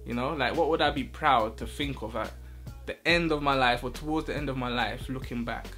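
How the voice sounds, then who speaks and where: A man's voice: medium pitch, fairly quick, pushed and bright, soft background music. Chatty male vlogger explaining an idea. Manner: chatty, loose, checks in with the listener often. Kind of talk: casual talk to camera.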